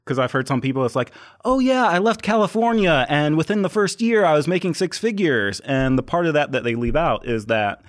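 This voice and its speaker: A man's voice: a little high, big pitch swings, fairly quick, hardly any pauses. Blunt, questioning male podcast host. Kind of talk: casual interview.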